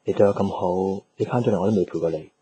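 Radio drama, Hong Kong Cantonese: lovelorn, overworked young man, apologetic, medium-low everyday pitch, strongly breathy. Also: very fast, mellow, low-quality recording. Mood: tender, apologetic.

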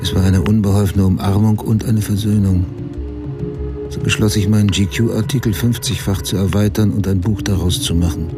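An actor playing a middle-aged American male crime writer, narrating his dark memories in a deliberate, unsentimental radio drama style. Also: low voice, natural voice. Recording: music behind the voice.

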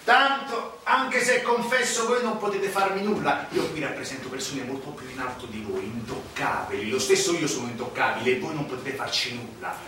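A man's voice: mid-range voice, sharp tone, a little hiss or hum, lightly acted. Man acting a proud mafioso, echoing from afar. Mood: arrogant, menacing. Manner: solemn, declamatory, dramatic pauses. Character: proud unrepentant Sicilian male mafioso confessing, arrogant, self-righteous. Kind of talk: theatrical stage monologue. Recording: echoey distant stage recording with music. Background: music.

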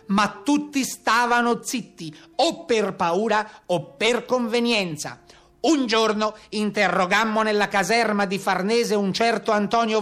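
A man's voice: sits high, fairly bright tone. A man playing an aggrieved carabiniere who gives a formal, report-like account in a theatrical monologue. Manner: formal, orderly report-like telling. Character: male carabiniere recounting a brigand manhunt, formal, aggrieved.

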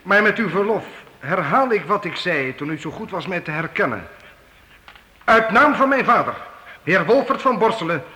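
Bold, sharp-voiced young knight. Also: male voice, high-pitched, lively intonation, moderate pace. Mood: respectful, earnest. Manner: formal, courtly, declamatory. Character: bold, earnest young knight dressed as minstrel. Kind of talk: radio play acting for youth. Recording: echoey, noisy old radio play recording.

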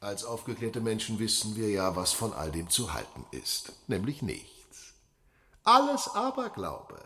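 A pompous theatre compère in a radio drama. He gushes in slow, drawn-out phrases with wide swings of pitch. There is clear noise behind his voice. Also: huge pitch swings, pushed and bright, heavily acted. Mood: smugly explaining. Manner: florid, drawn-out emphasis. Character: pompous, gushing theatre master of ceremonies. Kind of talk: showy stage announcement in radio drama.

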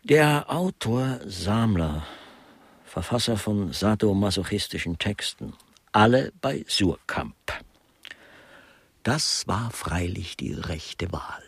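An actor playing an elderly, gossipy, cynical aesthete in a crime radio play, speaking in a husky, breathy voice with affected relish. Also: male voice, on the low side, big pitch swings, slow pace, dry recording, exaggerated voice. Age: older. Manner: affected, musing, theatrically relishing words. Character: Meiser, elderly male honorary guest artist, gossipy, cynical aesthete. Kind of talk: German crime grotesque radio play dialogue.

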